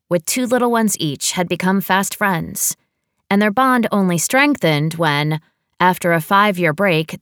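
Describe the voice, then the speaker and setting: A woman's voice: on the low side, a bit bright, dry recording, clean studio sound. An American woman reading a first-person romantic comedy novel as a scripted audiobook narration.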